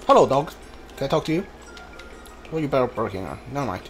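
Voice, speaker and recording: A man giving casual video game commentary, thinking aloud in a halting way and reading bits out as he goes. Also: mid-range voice, sing-song, faint music behind. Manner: halting, mutters to himself, reads guide and subtitles aloud.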